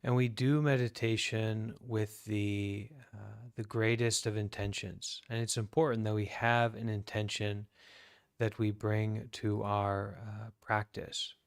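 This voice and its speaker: An American man speaks as a meditation teacher in a smooth, even voice that stays within a narrow range. He is calm and deliberate, leaving long pauses between short phrases, and the sound is dry and close. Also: on the low side, no room sound. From United States. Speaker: Buddhist monk leading guided meditation. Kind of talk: guided meditation talk.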